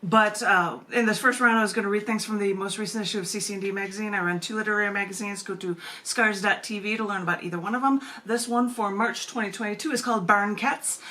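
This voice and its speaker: Woman, American accent, bright tone, medium pitch, chatty host and poet, rambling asides, video call. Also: fast, hardly any pauses. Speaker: open mic host and poet. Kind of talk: casual hosting chat and poetry reading on a video call. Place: at home, indoors. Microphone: video call microphone.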